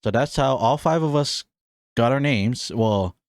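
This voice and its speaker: A man's voice: medium pitch, measured pace, no echo. Young male podcast host, mid-range voice, loose friendly rambling delivery, dry close mic. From Hawaii. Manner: rambling, friendly, many fillers and restarts. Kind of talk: casual solo podcast chat.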